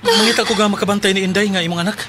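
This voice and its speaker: A man's voice: high voice, steady tone, very fast, heavy background noise, lightly acted. A man with a Cebuano Filipino accent playing an earnest separated father in his late thirties in a radio drama. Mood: anxious, excited. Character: earnest 37-year-old separated father courting a younger woman.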